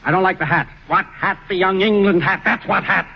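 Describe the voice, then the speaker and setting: An irascible studio boss in an old radio comedy, barking brusque orders at a rapid clip. His voice sits high for a man, and it comes through a muffled, hissy old recording. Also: steady tone, gravelly, breathy edge, exaggerated voice. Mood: harried, brusque. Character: bossy, irascible male film studio executive.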